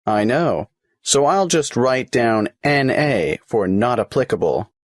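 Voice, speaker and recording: A man's voice: medium pitch, expressive, slow, no echo. A patient, methodical tax office clerk working through an application form question by question. His intonation rises and falls a lot, and the recording is dry and close. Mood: matter-of-fact. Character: male government clerk taking a tax application. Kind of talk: scripted exam listening dialogue.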